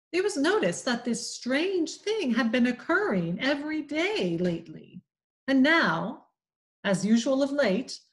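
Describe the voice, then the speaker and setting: A woman reading a classic novel aloud, slow and expressive, giving the characters voices. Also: fairly high pitch. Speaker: community reading program volunteer reader.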